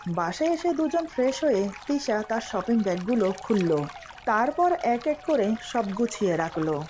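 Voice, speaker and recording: A woman's voice: on the high side, big pitch swings, moderate pace, background noise. A woman reading a scripted romantic story as its narrator.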